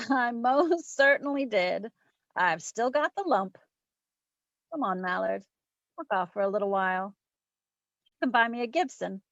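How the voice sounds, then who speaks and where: Woman playing a high-voiced wisecracking radio private eye. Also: expressive, unhurried, long pauses, a bit bright, dry recording, muffled recording. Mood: playful. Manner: wry, slangy, theatrical storytelling. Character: wisecracking, self-assured female private eye of old-time radio. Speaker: community theatre voice actor. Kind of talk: 1940s radio detective acting.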